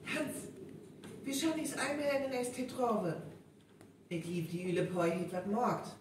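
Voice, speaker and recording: A woman's voice: mid-range voice, steady tone, a little slow, natural voice. An actress with a North Frisian accent playing a woman in a folk-tale radio play performed live. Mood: earnest telling. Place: event venue, live stage recording. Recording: echoey hall, distant, faint noise.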